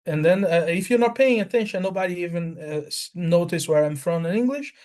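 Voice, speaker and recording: A man with a notably high voice and an Italian accent, chatting casually as a native speaker of Italian and Portuguese. He talks in a halting, repetitive way and is blunt about it. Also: darkish tone. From Brazil. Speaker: language learner. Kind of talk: casual chat.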